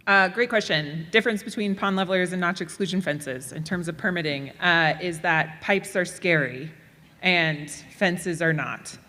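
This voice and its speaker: Low-voiced woman panelist in a hall. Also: normal speed, sharp tone. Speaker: panel presenter. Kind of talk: answering audience questions. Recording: reverberant hall.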